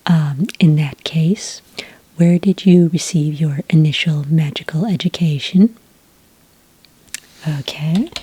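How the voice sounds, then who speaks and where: A woman speaks in an extremely breathy half-whisper, low and mellow, as a patient, polite enrollment witch in an ASMR roleplay. She is gentle and unhurried, with small hesitations as she takes down details. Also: rough recording. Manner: gentle, slow, hesitant official patter. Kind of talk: soft-spoken ASMR roleplay. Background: computer keyboard typing.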